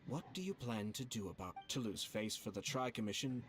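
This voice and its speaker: A man acts as a low-voiced young lord from a fantasy video game. He speaks with formal, measured courtesy, and a cool menace sits under the politeness. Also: faint music behind, lightly acted. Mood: cool, polite. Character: refined young male noble commissioner, polite, calmly menacing.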